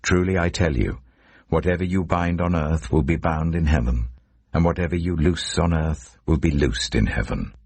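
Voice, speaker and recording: A man reading scripture as a narrator in a solemn, measured, dramatic storytelling delivery. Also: very deep voice, a little mellow, husky, dry recording. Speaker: Bible narrator.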